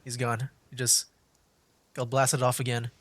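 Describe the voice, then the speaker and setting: A man's voice: medium pitch, monotone, unhurried, dry recording. A man streaming a video game, giving casual, amused commentary as he plays.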